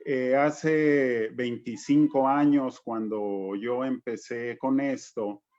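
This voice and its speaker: A middle-aged man speaking as a panelist in a measured, reflective way, like someone telling a story. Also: mid-range voice, a little mellow, dull audio. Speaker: panelist and reading promoter.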